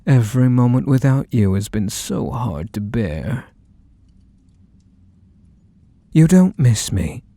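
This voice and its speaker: A man's voice: fairly low pitch, warm tone, dry recording. A man playing a possessive, teasing mafia boss lover in an intimate ASMR roleplay, speaking in a mock-sweet, condescending way full of menacing affection. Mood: pleading, possessive. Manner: unhurried, mock-sweet, condescending pet names. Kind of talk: ASMR roleplay, intimate one-sided dialogue.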